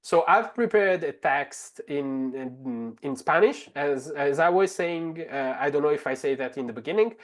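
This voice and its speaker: A man from Italy, a native Italian speaker with an Italian accent, presents a live software demonstration in a halting, explanatory way. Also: on the high side, expressive. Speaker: webinar presenter, translator.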